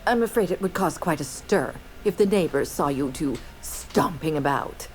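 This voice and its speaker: A woman's voice: sits low, lively intonation, moderate pace, a little mellow, soft background music, low-quality recording. An actress playing a prim, image-conscious middle-aged mother in a video game, genteel and dismissive. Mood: prim, pleading.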